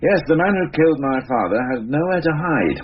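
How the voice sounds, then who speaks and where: An actor playing a courteous, world-weary police inspector with a measured, wry manner in a radio drama. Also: male voice, a little high, big pitch swings. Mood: grave, reflective. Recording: dull lo-fi radio recording with hiss.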